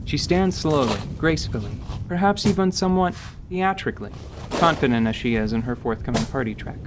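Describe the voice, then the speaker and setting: A man's voice: a little high, animated, a little fast. A man reading scripted story narration as a narrator in an audio drama. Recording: noisy recording with clicks.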